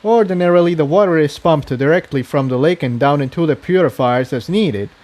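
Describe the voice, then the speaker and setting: A man's voice: a little high, fairly quick, darkish tone, voice pushed up, lightly acted. A man voicing an earnest, practical young male unicorn pony who explains things matter-of-factly in a story. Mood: explaining.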